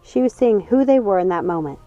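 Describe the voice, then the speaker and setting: A woman narrates a storybook in a soft-edged, mellow voice with lively inflection. The sound is a little dull and lo-fi, with light music underneath. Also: medium pitch, fairly quick, dry recording. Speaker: audiobook reader.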